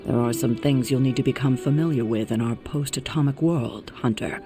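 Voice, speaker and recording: An actor playing a composed, authoritative leader of a superhero team, speaking in a measured, formal, articulate way in a dramatic video game. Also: male voice, medium pitch, lightly acted. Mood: grave, informative. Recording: music behind the voice.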